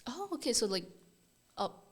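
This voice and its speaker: A woman's voice: a little high, sing-song, moderate pace, slightly rough. A young woman from Dubai hosting a podcast, bubbly and giggly, with lots of "like" in her talk. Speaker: podcast host. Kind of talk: casual podcast chat.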